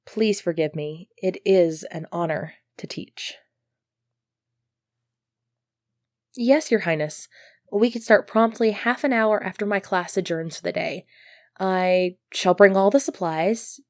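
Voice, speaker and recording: A woman's voice: on the low side, a little slow, dry recording, studio quality. A woman playing an earnest, easily flustered medieval knight devoted to her prince, speaking politely and formally in an ASMR roleplay. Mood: flustered, apologetic, then formal, eager. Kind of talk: ASMR roleplay acting, formal old-fashioned speech.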